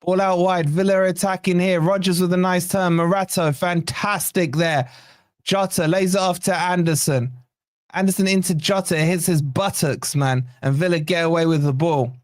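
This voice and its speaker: Guy calling the match live for his fan channel, voice going hoarse, high and dry, gets loud and sweary. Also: normal speed, no room sound. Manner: excitable, swearing, partisan play-by-play. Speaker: fan channel watch-along host. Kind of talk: excited fan match commentary.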